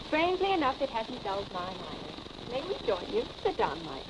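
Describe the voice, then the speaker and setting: An actress playing a cool, sardonic young wealthy wife, in dry, arch 1940s Hollywood film style. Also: female voice, on the high side, big pitch swings, moderate pace, very noisy. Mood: icy, sardonic. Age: young adult. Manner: arch, dry, clipped irony. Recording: old film soundtrack, music behind.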